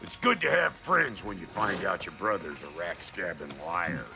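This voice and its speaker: An actor playing a gruff, foul-mouthed dwarf blacksmith in a video game, blunt and folksy, with a gravelly voice. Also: male voice, low-pitched, sing-song, moderate pace, over music, exaggerated voice. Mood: subdued, earnest. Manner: folksy, blunt, colorful cussing. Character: gruff, foul-mouthed, cantankerous little dwarf blacksmith, middle-aged man. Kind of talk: video game character acting.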